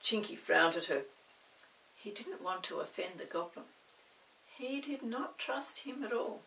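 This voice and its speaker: A woman reading a children's story in an expressive, measured way. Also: medium pitch, creaky, recorded from a distance, a little hiss or hum, rough recording. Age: 60s. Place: small indoor set with dark backdrop.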